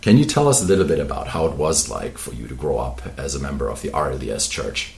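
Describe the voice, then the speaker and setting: A man's voice: extremely low pitch, animated, fast, creaky, darkish tone, echoey room. A man hosting an interview podcast, talking earnestly at length with many fillers. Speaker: podcast host.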